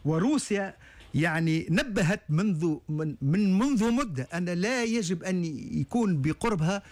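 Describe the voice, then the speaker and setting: Hesitant Tunisian man, slightly high voice. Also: expressive, normal speed. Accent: Tunisian Arabic. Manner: hesitant, many stalled restarts and fillers. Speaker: guest analyst. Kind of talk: studio talk show discussion.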